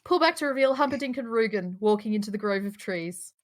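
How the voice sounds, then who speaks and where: A woman's voice: medium pitch, expressive, quick pace. A woman reading stage directions as narrator at a live table read, in a matter-of-fact manner.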